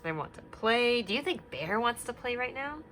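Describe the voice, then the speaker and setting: A woman teacher reads a picture book aloud to young children from home, her voice gentle and sing-song, full of questions for her listeners. Her pitch sits in an ordinary middle range. A faint hiss of room noise runs behind her. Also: lively intonation, moderate pace.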